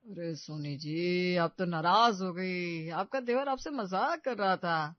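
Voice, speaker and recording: Man playing a high-pitched sarcastic husband. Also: slow, dry recording, dull audio. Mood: placating. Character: placating, mocking young husband in family.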